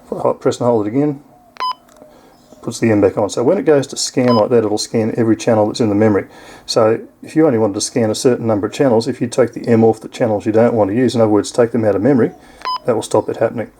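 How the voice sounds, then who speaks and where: A man's voice: medium pitch, steady tone, fast, warm tone, slight background noise. An Australian man giving a casual, explanatory hands-on product review in an informal way.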